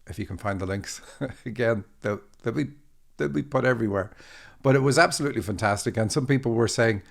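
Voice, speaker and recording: A man's voice: on the low side, creaky. A man hosting a dream interpretation podcast, chatting casually and laughing easily. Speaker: podcast host.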